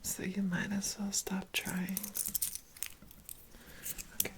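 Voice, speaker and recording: A woman's voice: medium pitch, completely flat delivery. Whispering, hesitant woman in ASMR roleplay. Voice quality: breathy whisper with fry. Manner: hesitant, halting, repeats phrases softly. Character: awkward, shy female organ harvester prepping a living patient.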